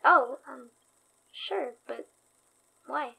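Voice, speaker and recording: Female young store worker, slightly high voice, earnest and anxious, halting delivery, naturalistic horror audio drama. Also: gravelly, pushed and bright, heavily acted, rough recording. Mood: hesitant. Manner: hesitant, halting.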